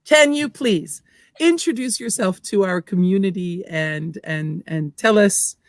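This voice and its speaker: A woman's voice: medium pitch, a little slow, dry recording, clean studio sound. An American woman hosting an interview in a casual, playful, chatty style. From United States. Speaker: show host.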